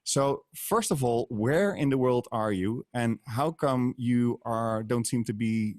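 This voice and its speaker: A middle-aged man hosting a podcast over a video call, asking measured questions with hesitations. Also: medium pitch, darkish tone, no echo, studio quality. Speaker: podcast host. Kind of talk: casual interview. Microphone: headset, mic on stand.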